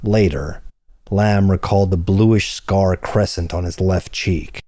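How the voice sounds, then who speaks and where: A man reading hardboiled crime fiction as an audiobook narrator, in a measured, deliberate storytelling manner. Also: low-pitched, dark tone, dry recording, clean studio sound.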